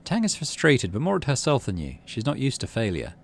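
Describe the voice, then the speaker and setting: A man's voice: medium pitch, huge pitch swings, a little fast, gravelly, husky. A man hosting a game playthrough, reading story text aloud and thinking through his moves in a muttering way. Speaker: gaming channel host. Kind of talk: let's play commentary and read-aloud narration.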